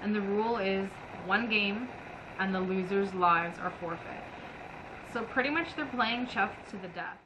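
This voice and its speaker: A woman reads a book aloud in an expressive, dramatic way. Her voice sits at a middling pitch, and there is clearly audible rumbling ambience behind her. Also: measured pace. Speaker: book reviewer. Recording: noisy, rumbling background ambience.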